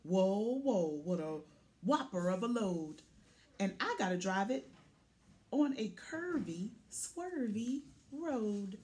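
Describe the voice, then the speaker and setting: A woman's voice: mid-range voice, animated, takes it slowly, a little mellow. A woman voicing a boastful, plucky little pickup truck from a children's picture book, punchy and full of vocal sound effects. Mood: excited.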